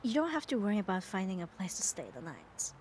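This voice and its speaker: A woman playing a muscular, devoted, gently dominant yandere girlfriend in an ASMR roleplay, speaking tenderly and soothingly and often dropping to a whisper. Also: medium pitch, very animated, fast talker, slight background noise, lightly acted. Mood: tender, reassuring. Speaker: ASMR voice performer. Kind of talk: ASMR roleplay, soft intimate acting.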